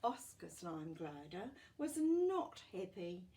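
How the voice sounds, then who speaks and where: A woman reading a picture book aloud to children, expressive and exclamatory, with chatty asides to her young listeners. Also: on the low side, takes it slowly, recorded from a distance, a little hiss or hum. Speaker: children's storyteller.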